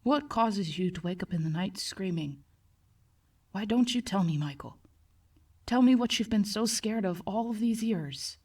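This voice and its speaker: A woman voicing a calm male psychiatrist in a horror story, speaking softly in a dry, measured professional monotone. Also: mid-range voice, slightly rough, recorded from a distance. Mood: calm, coaxing. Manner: soft-spoken, measured monotone, formal. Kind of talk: acted horror story dialogue.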